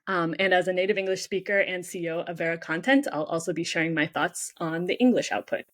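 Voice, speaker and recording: A woman's voice: on the low side, fast, a bit bright. A woman hosting a podcast in a casual, upbeat way, often saying "like" and nodding along with "mm-hmm.". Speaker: podcast host.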